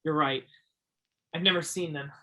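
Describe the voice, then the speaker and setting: An actor in a stage play playing an easygoing, obliging young roadhouse souvenir seller with a friendly, agreeable manner. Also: male voice, fairly high pitch, steady tone, moderate pace, sharp tone. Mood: friendly, casual.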